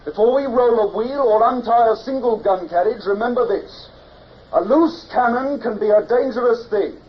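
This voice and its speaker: An actor playing an earnest, outspoken naval lieutenant, emphatic and blunt, in period radio drama style. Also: male voice, extremely high pitch, slightly rough. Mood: commanding, shouted. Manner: emphatic, blunt, hesitant pauses. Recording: dull old radio recording with hiss.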